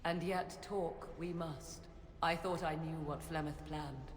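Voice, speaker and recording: A woman's voice: sits low, steady tone, takes it slowly, roomy sound, long reverb tail, slight background noise. An actress playing a proud, guarded witch in a fantasy video game, with formal archaic phrasing and a theatrical, cutting delivery. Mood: grave, ominous. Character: proud, guarded witch, sharp-tongued and ominous.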